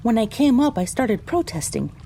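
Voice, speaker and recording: A mellow, fairly high female storyteller , acted by a woman, narrates as a young pony in an audio drama. She recounts a frightening misadventure in the first person, with faint trickling water behind her. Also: sing-song, moderate pace, creaky, a little hiss or hum. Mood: dramatic recounting.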